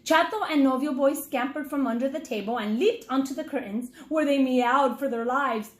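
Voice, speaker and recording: A woman's voice: sits high, normal speed. A woman reading a children's story aloud as a teacher, speaking expressively and putting questions straight to young listeners. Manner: expressive, addresses children directly. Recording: echoey room sound.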